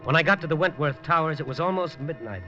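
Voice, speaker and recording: A man's voice: on the high side, animated, quick pace, music in the background, close to an everyday voice. An actor playing a hard-boiled male newspaper reporter, narrating dryly and tersely with wisecracks in a 1950s radio drama. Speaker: lead narrator. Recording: dull 1950s radio broadcast.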